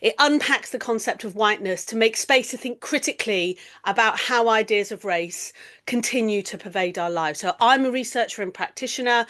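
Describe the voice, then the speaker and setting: A middle-aged woman from the East Midlands with an English accent, hosting a reflective solo podcast in an earnest, deliberate way with emphatic pauses. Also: medium pitch, fairly bright tone. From East Midlands, England. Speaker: podcast host.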